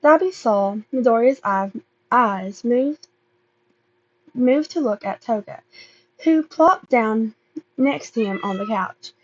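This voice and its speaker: Halting, slow-paced woman reading aloud. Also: a little high, lively intonation, highs cut off. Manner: halting reading, often stumbling and repeating words. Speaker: amateur podfic reader. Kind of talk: reading fan fiction aloud, with casual asides.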